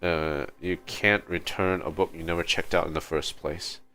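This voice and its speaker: A man livestreaming a game, thinking aloud in casual, halting commentary. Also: low-pitched, fairly even intonation, bright, forceful tone. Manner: musing, halting, repeats and rephrases lines he hears.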